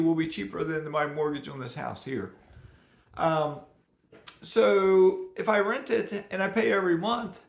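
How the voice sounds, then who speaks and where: A man with a rough, hoarse voice today, chatting casually and rambling about personal finance at home. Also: a little high, roomy sound. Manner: rambling, chatty, laughs at himself. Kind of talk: casual unscripted talk to camera.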